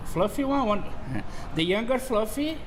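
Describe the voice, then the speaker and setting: A man's voice: extremely high pitch, expressive, measured pace. A man in his forties from Brazil, speaking English with a Brazilian Portuguese accent, chatting casually and laughing often as co-host. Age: 40s. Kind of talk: casual chat. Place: shopping mall under renovation. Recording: roomy mall with renovation noise.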